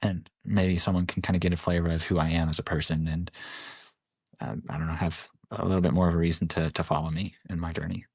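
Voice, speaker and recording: A man's voice: low voice, level tone, fast, vocal fry, darkish tone, husky, no room sound, clean studio sound. A man in his late twenties, a podcast guest, talking candidly and reflectively with frequent laughs. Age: 20s. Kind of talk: casual interview.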